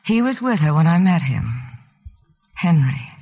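A woman acting a headstrong, wealthy young heiress in an old radio drama, brisk, self-assured and forward. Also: on the low side, sing-song, mellow, muffled recording, exaggerated voice. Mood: wistful reminiscing. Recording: old radio broadcast with music.

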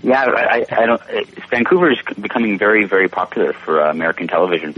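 A seventeen-year-old Canadian man answering casual interview questions politely, pausing as he thinks through his phrasing. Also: fairly low pitch, animated, breathy edge, narrow, tinny audio. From Toronto, Canada. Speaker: interview guest, young actor.

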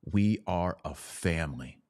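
A middle-aged man giving an informal theological explainer as a podcast host, speaking in an emphatic, didactic way with pauses on key phrases. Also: sits low, animated, darkish tone, no room sound.

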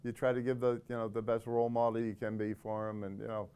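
A man's voice: medium pitch, little pitch movement, mellow, smooth. Thoughtful middle-aged man. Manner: thoughtful, explains slowly with restarts. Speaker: corrections officer, podcast guest. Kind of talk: casual podcast conversation.

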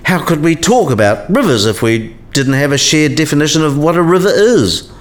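An actor playing an eccentric, kindly old philosophy professor in a radio play, musing and didactic. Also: male voice, a little high, very animated, moderate pace. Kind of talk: scripted radio play dialogue.

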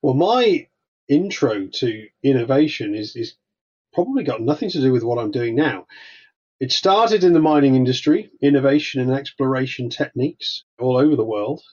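A man's voice: mid-range voice, animated, normal speed, a little mellow, breathy edge. Welsh man, hesitant, slightly muffled. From Wales. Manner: hesitant, many restarts. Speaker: guest consultant. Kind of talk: casual podcast interview. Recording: slightly dull lo-fi sound.

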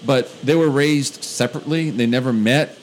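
A man's voice: medium pitch, normal speed, slight background noise, low-quality recording. A man co-hosting a podcast in casual chat, rambling with many uh's and restarts. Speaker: podcast co-host.

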